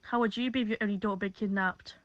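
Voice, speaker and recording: A woman's voice: medium pitch, fairly even intonation, fast talker, no echo, highs cut off, lightly acted. A woman playing a grim, wary police chief in a dystopian audio drama.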